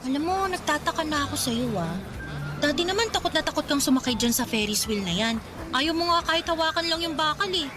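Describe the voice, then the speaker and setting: Casting: high-pitched young female cousin for radio drama, chatty, theatrical, playfully needling, music underneath. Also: lively intonation. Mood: whiny, grumbling. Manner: playful, exaggerated, drawn-out teasing. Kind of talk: Filipino radio drama acting. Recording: music behind the voice.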